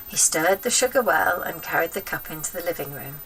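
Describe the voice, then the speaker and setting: A woman reading a children's story aloud as an expressive narrator. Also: sits low, a little fast, distant mic, slight background noise, rough recording. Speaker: storybook reader.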